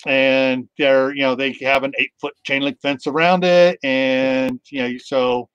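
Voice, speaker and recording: A man's voice: mid-range voice, steady tone, unhurried, fairly bright tone, smooth, no room sound. A middle-aged man hosting a casual video call chat, rambling with many fillers. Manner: rambling, lots of ums and you knows. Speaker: host. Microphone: webcam on video call. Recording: dull, dry webcam audio.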